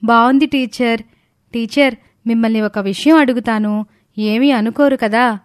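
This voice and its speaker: A woman's voice: fairly high pitch, fairly even intonation, a little slow, no room sound, studio quality, voice pushed up, lightly acted. A woman voicing an eager, respectful young female student in a story, earnest and polite. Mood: relieved, polite.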